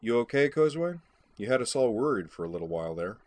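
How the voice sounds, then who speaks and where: A man's voice: medium pitch, sing-song, fast, a little mellow, pitched above the performer's own voice, lightly acted. An affable squadron officer in a storybook, acted by a male narrator. He talks in an easygoing, conversational way, explaining things plainly and matter-of-factly. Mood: genial.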